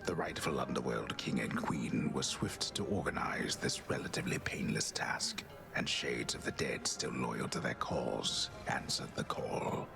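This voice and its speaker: A solemn old storyteller narrating a mythic game. His voice is exceptionally deep, hushed and gravelly, with grave literary phrasing over clear music. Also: unhurried, full character voice. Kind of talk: scripted video game narration.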